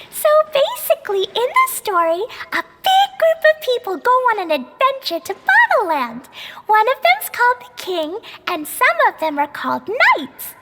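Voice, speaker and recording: A woman voicing an excitable, cheerful little girl in a game cutscene, talking about herself in the third person. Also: very high voice, very noisy, heavily acted. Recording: game audio under loud music.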